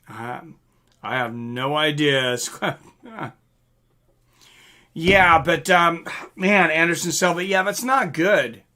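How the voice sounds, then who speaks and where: A man's voice: a little high, sharp tone. A man hosting a live stream in a casual, rambling, mildly profane way, chatting and answering viewer comments.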